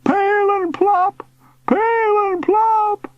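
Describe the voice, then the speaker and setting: A man's voice: extremely high pitch, little pitch movement, slow, no echo, muffled recording, pitched above the performer's own voice. A man voicing a frantic old uncle ghost in an audiobook story, crying out short, repeated exclamations. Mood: frantic yelping.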